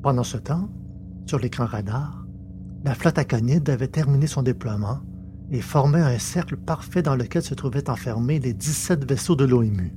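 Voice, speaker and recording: A man's voice: mid-range voice, moderate pace. A man reading scripted literary science fiction narration as the storyteller. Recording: clearly noisy background with hum.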